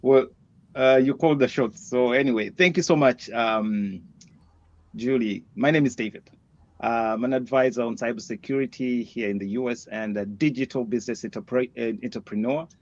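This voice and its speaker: A man co-hosting a casual livestream, relaxed and hesitant with many fillers. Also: mid-range voice, moderate pace, dry recording. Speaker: co-host. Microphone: video call. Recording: dull, dry sound.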